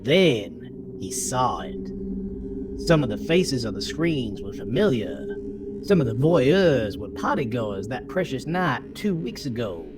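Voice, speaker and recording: A man narrating a horror story in a dramatic, theatrical style, with emphatic phrasing and deliberate pauses. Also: mid-range voice, sing-song. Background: music.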